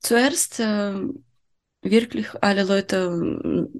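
Halting woman with a Ukrainian accent. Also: medium pitch. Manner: slow and halting, searching for words with many "äh" pauses. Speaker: guest. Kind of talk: interview in a learned language.

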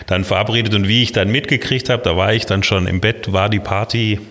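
A man in his fifties with a Swabian-Alemannic accent, a guest on an interview podcast, explaining things in a measured way with frequent hesitations. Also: fairly low pitch, fairly even intonation, a bit bright, in a large hall. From Baden-Württemberg, Germany. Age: 50s. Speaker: city planning official, guest.

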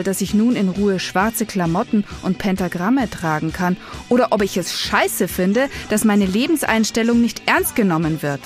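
A moody teenage goth girl, played by a woman confides in her diary with defiant, self-serious earnestness. It is a radio play monologue at an ordinary female pitch, with music playing behind her. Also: close to an everyday voice.

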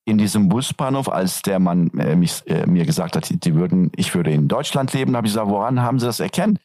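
Interview guest: man from Turkey, very low voice, dry close sound, hesitant with fillers. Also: lively intonation, fairly quick, dark tone, no echo. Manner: hesitant, many fillers and restarts. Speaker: guest, teacher. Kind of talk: casual interview answers.

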